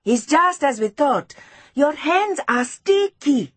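Woman doing a shrill, bossy young schoolgirl. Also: sing-song, slow, a bit bright, husky, dry recording, heavily acted. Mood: triumphant. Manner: demanding, accusatory.

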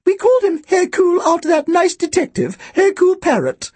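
An eccentric old storybook shopkeeper, a woman acted out by a man. Her voice is frail, thin and trilling, pitched far up high. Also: sing-song, fairly quick, a little mellow, no room sound. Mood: sweetly batty. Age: elderly. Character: frail, eccentric elderly woman pet-shop owner.

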